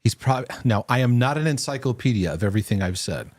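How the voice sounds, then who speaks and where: A man's voice: mid-range voice, expressive, fast, dry recording. A man hosting a live question-and-answer broadcast, giving earnest, reassuring faith-based teaching. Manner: earnest, reassuring, lots of restarts. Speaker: host.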